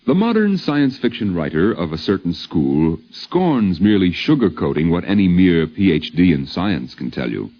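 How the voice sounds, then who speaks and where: Deep-voiced man narrating a vintage radio documentary. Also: sing-song, moderate pace, few pauses. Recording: dull 1950s radio recording with music.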